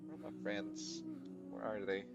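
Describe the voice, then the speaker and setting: A man's voice: very high-pitched, huge pitch swings, measured pace, creaky. A man chatting casually as he livestreams a video game, rambling and often rephrasing himself. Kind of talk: casual live stream chat. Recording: muffled lo-fi mic, faint music behind.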